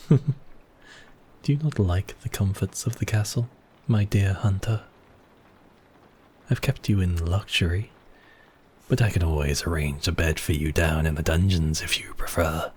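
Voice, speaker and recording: A man acting as a suave, obsessive, mocking vampire count in an intimate ASMR roleplay, with silky, teasing, drawn-out phrasing. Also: deep voice, slightly rough.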